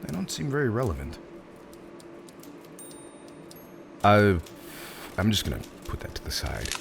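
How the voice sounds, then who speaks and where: A man's voice: fairly low pitch, huge pitch swings, a little fast, creaky, music in the background, exaggerated voice. A man playing a theatrical, polite but exasperated supervillain interviewer in a roleplay audio drama, hesitant and mock-professional, prone to chuckling. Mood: amused, candid.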